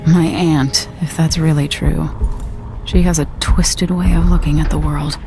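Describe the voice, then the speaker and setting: An actress playing a resolute young deposed empress in a video game, speaking in a measured, serious, guarded way. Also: female voice, deep voice, flat delivery, music in the background. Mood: determined, pensive. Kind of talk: introspective game narration.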